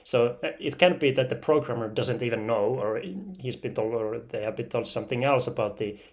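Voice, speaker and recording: A man's voice: medium pitch, steady tone, a little fast, a little mellow. Young finnish guy explaining his thesis, lots of uh and kind of like, mid voice. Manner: hesitant, many "uh" and "kind of like" fillers. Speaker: researcher presenting thesis. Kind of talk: technical talk.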